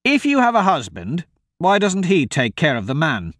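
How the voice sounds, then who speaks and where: A man's voice: fairly high pitch, huge pitch swings, normal speed, fairly bright tone, no room sound, clean studio sound, voice pushed up. A man doing an easygoing, good-hearted young swordsman. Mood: easygoing upbeat.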